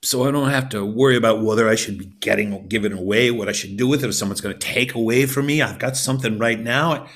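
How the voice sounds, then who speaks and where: A man's voice: mid-range voice, fast talker, hardly any pauses, fairly bright tone, lightly acted. A man voicing a cheerful, carefree old beggar with a jolly, boisterous manner in a spoken folk tale. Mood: easygoing.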